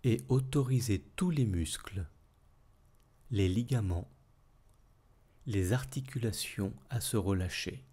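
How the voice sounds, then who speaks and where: A man leading a guided yoga nidra relaxation as instructor, speaking calmly and soothingly in measured phrases with long pauses. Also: on the low side, husky.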